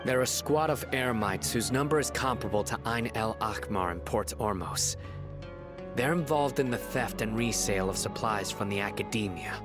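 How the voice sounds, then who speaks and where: An actor playing a stern, principled young general in a video game, speaking in a grave, measured, authoritative way. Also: male voice, fairly low pitch, music in the background, lightly acted. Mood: commanding. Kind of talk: video game character acting.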